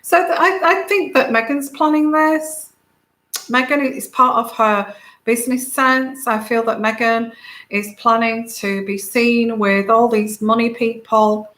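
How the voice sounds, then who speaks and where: Woman, soothing soft voice, rambling livestream host, bright tone, roomy echo. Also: fairly high pitch, steady tone, unhurried. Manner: rambling, many fillers, greets viewers by name. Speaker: tarot reader and channel host. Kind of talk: casual livestream chat with viewers.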